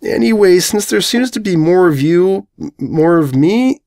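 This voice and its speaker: A man's voice: high voice, expressive, a little slow, creaky, warm tone, pitched above the performer's own voice, heavily acted. A man voicing an awkward, apologetic stallion pony who speaks hesitantly in a comic story. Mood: pleading.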